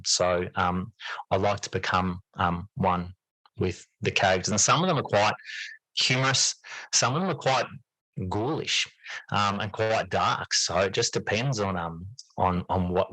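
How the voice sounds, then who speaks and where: A man's voice: low voice, vocal fry, sharp tone, dry recording, low-quality recording. A middle-aged man talking reflectively as an interview guest, often repeating words and hedging as he explains his thoughts. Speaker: podcast guest, teacher and fiction writer.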